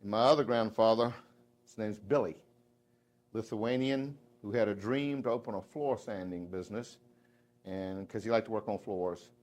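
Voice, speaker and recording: A man in his fifties with a Southern accent, giving a folksy, joking live speech to a room of salespeople, swinging between loud and hushed. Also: fairly low pitch, moderate pace. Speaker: motivational sales speaker. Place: dealership meeting room.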